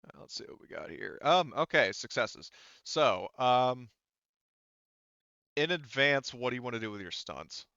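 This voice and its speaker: A man running a tabletop game, explaining rulings and details in a casual, halting way. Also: mid-range voice, lively intonation, pushed and bright, clear, resonant tone, no room sound, muffled recording. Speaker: game master.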